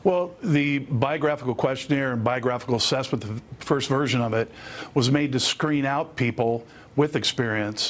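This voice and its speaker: A man speaking as a lawyer in a news interview, explaining things in a measured, matter-of-fact way. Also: mid-range voice.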